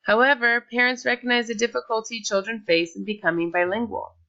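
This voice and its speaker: Bright-voiced woman reading a presentation. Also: mid-range voice, muffled recording. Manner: reads from a script with small slips and restarts. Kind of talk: read-aloud slide presentation.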